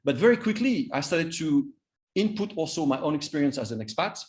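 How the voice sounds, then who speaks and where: A man in his fifties with a French accent, a native Vietnamese speaker, giving an informal training talk as a coach, haltingly and repeating words for emphasis. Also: fairly high pitch, sing-song, a little mellow. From Vietnam and France. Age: 50s. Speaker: cross-cultural trainer and coach.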